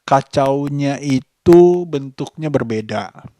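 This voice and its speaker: Slow-talking man, dry close sound. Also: medium pitch, expressive, mellow, dry recording. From Indonesia. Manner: halting, deliberate, breaking thoughts into short phrases. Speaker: vlogger. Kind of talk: casual monologue vlog.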